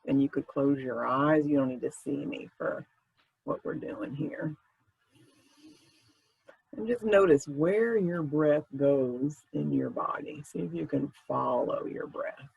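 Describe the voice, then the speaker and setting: A man leading a guided meditation in a gentle, informal, conversational way with unhurried phrasing. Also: fairly high pitch, gravelly, slightly rough, lo-fi sound.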